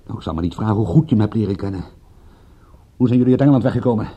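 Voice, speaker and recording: A man's voice: lowish voice, very fast, dark tone, slightly rough, dull audio. An actor playing a wry, needling male private detective in a radio drama, sardonic and insistent. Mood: suspicious, needling.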